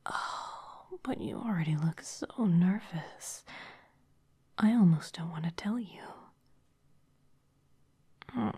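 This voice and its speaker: A woman voicing a sly, intimidating female vampire executive in a close, breathy ASMR whisper, polished and teasing. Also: mid-range voice, mellow. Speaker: ASMR performer.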